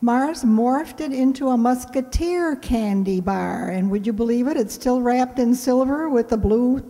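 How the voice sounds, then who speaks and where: Mellow-voiced woman in a reverberant hall. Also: on the high side, measured pace. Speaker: radio play director and show host. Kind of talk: casual announcements to an audience. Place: indoor hall, live stage event.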